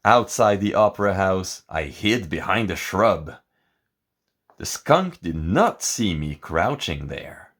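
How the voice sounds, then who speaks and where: Male storybook reader, lower-pitched, dramatic and expressive, with sweeping intonation. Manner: theatrical, expressive, deliberate. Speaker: picture book reader. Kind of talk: dramatic scripted storybook narration.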